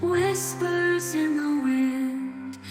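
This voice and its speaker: A woman's voice: sits high, extremely slow. A woman singing a spooky theme song for a horror show. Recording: loud music under the voice.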